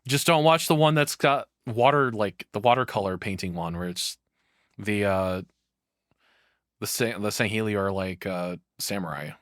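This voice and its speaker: A man's voice: fairly low pitch, normal speed, no echo, studio quality. A man giving casual reaction commentary as a streamer, rambling and opinionated, with some swearing.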